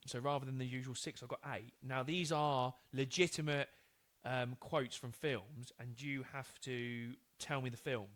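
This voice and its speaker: A man's voice: mid-range voice, steady tone, moderate pace, a bit bright. A man hosting a casual comedy podcast, laughing often and stumbling over his words as he runs a film quiz. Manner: laughs a lot, stumbles, tries out film voices. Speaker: podcast co-host and quiz master.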